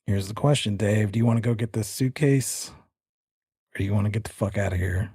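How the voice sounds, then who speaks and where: A man's voice: on the low side, fairly quick, warm tone, slightly rough, no room sound, clean studio sound. A man acting as game master, narrating a tabletop game with dramatic storytelling and casual banter.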